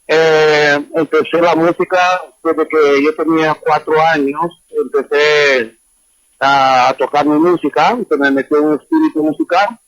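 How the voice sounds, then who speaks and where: A man's voice: on the high side, measured pace, a bit bright, no room sound. A man from Honduras, a guest musician, telling his story casually in an online class interview, rambling with many fillers over a remote call. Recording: dry, dull lo-fi call audio.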